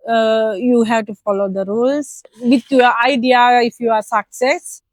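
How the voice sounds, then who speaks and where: A middle-aged woman from Sri Lanka with a Sri Lankan accent, a restaurant owner answering interview questions in halting English with many fillers. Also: a little high, steady tone, no echo. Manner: hesitant non-native English with many fillers, slips into German. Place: outdoors in front of her restaurant, then inside.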